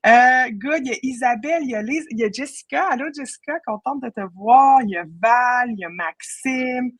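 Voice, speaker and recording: A woman's voice: a little high, a little fast, dry recording. A woman with a Quebec French accent hosting a casual live online session, chatty and informal, dropping in English words. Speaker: web marketing professional. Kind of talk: casual live webinar chat.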